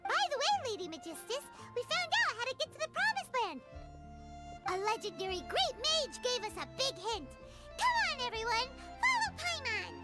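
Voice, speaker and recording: A woman playing a small, excitable, cheeky floating fairy companion in a video game, with loud music underneath. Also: very high voice, moderate pace, heavily acted. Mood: bossy, eager.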